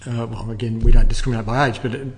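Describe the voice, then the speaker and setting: An older man giving measured, explanatory answers as an interview guest, repeating words as he thinks. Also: medium pitch, steady tone. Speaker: interview guest, air traffic control manager. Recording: long reverberant room echo.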